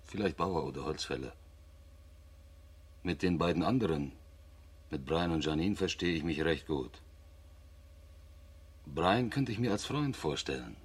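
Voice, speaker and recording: An actor playing a brooding, guilt-ridden astronaut and secret agent, confessing introspectively in a radio play. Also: male voice, rumbling low, expressive, a little slow, long pauses, slightly rough, full character voice. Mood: brooding, guilty.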